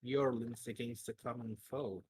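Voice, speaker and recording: A man with a husky voice, a player casually reasoning through a tabletop game in a deliberate way. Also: mid-range voice, steady tone, a little mellow.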